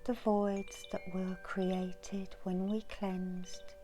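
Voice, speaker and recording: A middle-aged woman giving an ASMR guided meditation as a healer, in a soft, breathy, often whispered voice with soothing pauses. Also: mid-range voice, level tone, over music. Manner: soft, slow, soothing delivery with long pauses. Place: small indoor room.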